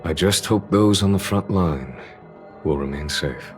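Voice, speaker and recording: A man's voice: deep voice, measured pace. An actor voicing a solemn male commander who speaks resolutely of an old oath. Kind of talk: game voice acting. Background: game music.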